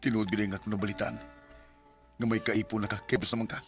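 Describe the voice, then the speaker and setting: A man's voice: on the low side, little pitch movement, quick pace, soft background music, natural voice. A Cebuano Filipino man playing a wounded, reproachful young lover in a radio drama. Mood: bitter, restrained. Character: wounded, reproachful young man back to his lost love. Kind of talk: Visayan radio drama acting.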